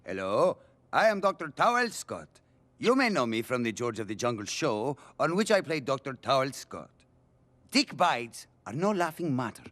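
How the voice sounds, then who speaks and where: An actor playing a pompous cartoon TV doctor in a stilted mock public service announcement. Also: male voice, medium pitch, sing-song, moderate pace. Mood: solemn. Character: pompous male TV doctor giving safety advice. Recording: loud music, crowd noise behind.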